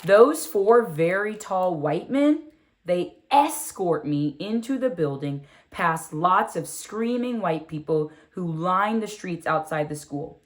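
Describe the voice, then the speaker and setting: A woman reading a children's storybook in the first person as a curious, proud six-year-old girl, expressive and full of wonder. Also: fairly low pitch, slow pace. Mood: puzzled. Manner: expressive, wondering, asks rhetorical questions.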